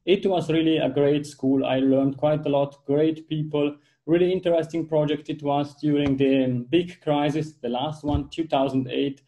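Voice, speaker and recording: A man's voice: on the high side, steady tone, normal speed, few pauses, warm tone, poor audio. A man in his thirties from southern Switzerland, with an Italian accent, talking earnestly as an interview guest from his home office over a video call. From Ticino, southern Switzerland. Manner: earnest, with frequent restarts and fillers. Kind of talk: casual interview talk.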